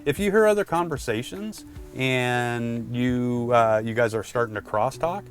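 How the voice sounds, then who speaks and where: A man's voice: medium pitch, unhurried, soft background music. A man presenting product tips in a casual, conversational instructional style, talking directly to the viewer.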